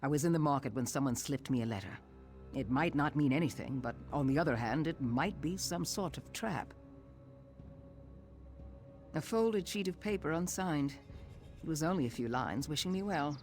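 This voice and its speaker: An actor playing a proud, sardonic exiled noble turned veteran thief in a video game, speaking in a dry, formal, haughty manner. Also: male voice, on the high side, lively intonation, normal speed, a little mellow, faint music behind, natural voice. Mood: uneasy, guarded.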